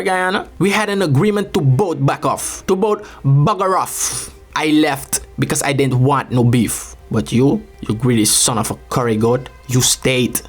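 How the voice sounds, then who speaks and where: A young man, delivering a sarcastic, indignant comic rant as a mock letter to a rival. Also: medium pitch. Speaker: comedic video creator. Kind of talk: mock love letter monologue.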